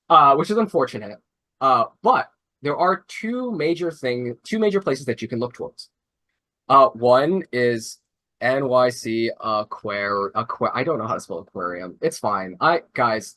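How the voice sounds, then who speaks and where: A man's voice: medium pitch, sharp tone. A young man mentoring robotics teams, explaining casually on a video call, rambling with many fillers and restarts. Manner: rambling, full of "like" and "uh", self-correcting.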